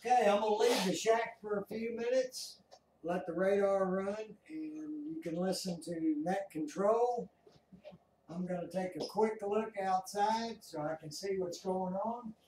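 An older American man chatting casually as he explains things as an amateur radio operator, halting and deliberate. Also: high-pitched, a little mellow, a little hiss or hum. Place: small home radio room, indoors. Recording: roomy, distant from the mic.

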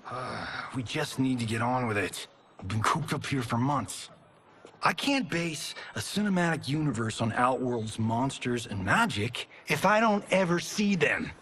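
A man's voice: medium pitch, animated, measured pace, fairly bright tone, a little hiss or hum. An actor playing a cocky, wisecracking male movie star in a video game cutscene. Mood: brash. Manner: wisecracking, boastful. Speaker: action film star.

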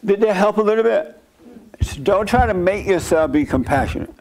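A middle-aged man preaching in a blunt, declarative way, answering questions from his seat on a studio stage. His voice sits a little higher than most men's. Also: expressive, a little mellow. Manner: blunt, preachy, quick asides and mock-prayer voices. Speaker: pastor. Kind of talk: sermon-style Q&A with audience. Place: dark studio set, seated by small table.

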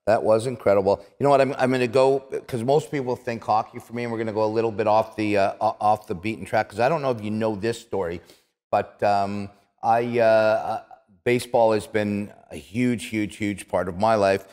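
A Canadian man in his fifties hosting a casual interview, telling stories in an animated, emphatic way and repeating words for punch. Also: fairly low pitch, a little fast. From Toronto, Canada. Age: 50s. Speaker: interview show host, retired athlete. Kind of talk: casual conversational storytelling. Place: small office-like studio set, indoors. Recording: echoey room with long reverb.

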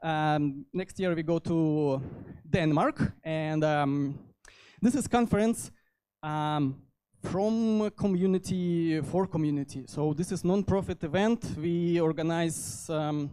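A man in his thirties with a Russian accent giving an informal technical talk into a handheld microphone, halting often as he goes. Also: on the high side, mellow, clear, resonant tone. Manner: halting delivery with frequent hesitations. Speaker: conference speaker, software developer. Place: indoor meetup venue.

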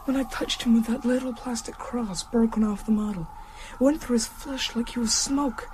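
A woman's voice: fairly high pitch, steady tone, normal speed, noisy background, close to an everyday voice. A woman playing a frightened but resourceful young boy in a radio drama, earnest and fearful. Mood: hushed recounting. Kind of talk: radio drama narration and acting.

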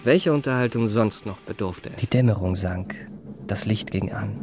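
A man's voice: lowish voice, moderate pace, darkish tone, faint music behind, lightly acted. An actor playing a suave, self-possessed young confidence trickster, narrating his memoirs in polished literary style for a radio play. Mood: polished, composed. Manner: polished, courteous, composed.

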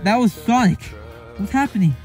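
A man voicing a panicked young plush-toy hero who shouts and yelps. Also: animated, normal speed, faint music behind. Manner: shouting, high-strung yelps.

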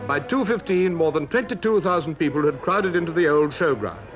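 A man's voice: on the high side, animated, fairly quick. A British man reading scripted narration as a reporter on a 1950s newsreel. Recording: dull 1950s newsreel soundtrack. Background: music.

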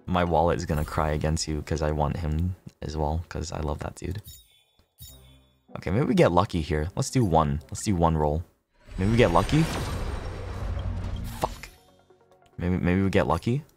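A man with a deep voice chats to viewers on a gaming livestream. He talks casually, curses freely and repeats himself as he reasons out loud. Soft music plays behind him. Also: lively intonation, normal speed, pauses often. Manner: casual, sweary, repeats himself, thinks aloud.

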